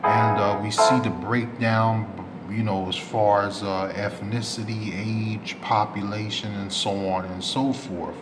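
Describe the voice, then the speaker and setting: A man hosting a community news channel, giving unscripted commentary to subscribers in a rambling way, often correcting himself with rough approximations. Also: on the low side, little pitch movement, a little slow, hardly any pauses, loud music behind the voice, rough recording. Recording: clear background noise, ambient room sound.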